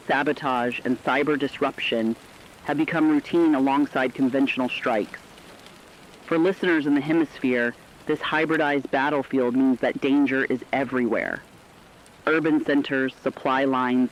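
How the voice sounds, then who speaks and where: A man's voice: mid-range voice, steady tone, normal speed, muffled recording, a little hiss or hum, natural voice. A man voicing a serious news analyst in a radio crisis broadcast, explaining events in formal scripted speech.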